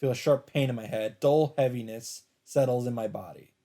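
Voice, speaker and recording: A man doing a let's play, reading a visual novel's narration aloud in a measured storytelling delivery. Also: mid-range voice, warm tone.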